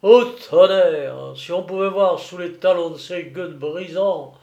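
A man voicing a drunken, jovial, boastful lighthouse keeper in a dramatised audiobook, with loud, coarse, exclamatory seaman's talk. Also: high-pitched, unhurried, gravelly, reverberant, muffled recording. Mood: boastful, excited.

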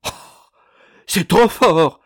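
A man voicing a loud, indignant, pushy stout matron in a dramatized storybook reading. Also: high-pitched, very fast, no echo, clean studio sound, pitched above the performer's own voice. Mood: outraged. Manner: strident, exclamatory, imperious.

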